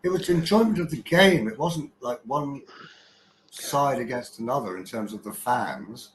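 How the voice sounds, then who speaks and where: A man's voice: mid-range voice, expressive, moderate pace. A man in casual chat, reminiscing in a meandering way. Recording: roomy echo with faint hiss.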